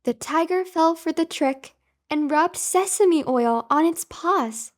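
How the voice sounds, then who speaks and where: A woman narrating a scripted children's bedtime story as host, with careful, expressive enunciation. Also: extremely high pitch, slow.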